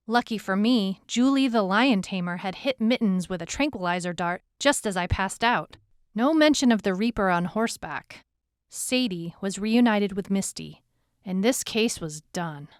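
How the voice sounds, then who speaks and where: A woman playing a tough, weary, skeptical detective who narrates her case in a measured, dramatic, reflective way. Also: mid-range voice, clean tone, dry recording, studio quality, lightly acted. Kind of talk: scripted first-person story narration. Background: music bed under the voice.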